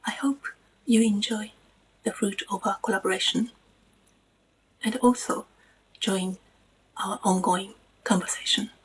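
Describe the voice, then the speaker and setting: A woman's voice: medium pitch, fairly even intonation, unhurried, pauses often, breathy edge, poor audio. A woman academic and book editor giving a prepared presentation.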